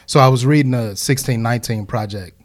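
A man's voice: mid-range voice, a little fast. A man in his thirties in a casual group discussion, building reasoned points with frequent hedges. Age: 30s.

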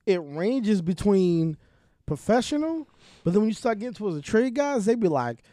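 A man with a Boston accent talking in a laid-back, storytelling way in a casual chat. His voice is quite high for a man, and the sound is dry and close. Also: sing-song, normal speed, dark tone, no room sound. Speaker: podcast co-host.

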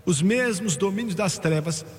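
Animated preacher man in a reverberant hall. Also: moderate pace. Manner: animated preaching, rising to high exclamations. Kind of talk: sermon.

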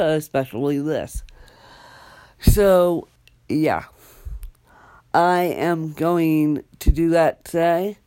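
A young adult podcast host giving a casual solo update, rambling and halting with many fillers and restarts. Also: mid-range voice, a little mellow, no echo.

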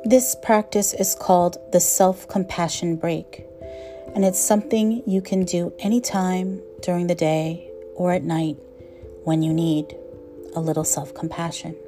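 A woman leads a guided meditation in a mellow, relaxed voice that sits a little low. Her instruction is gentle and measured, with phrases softening as they end. Faint music plays behind her.

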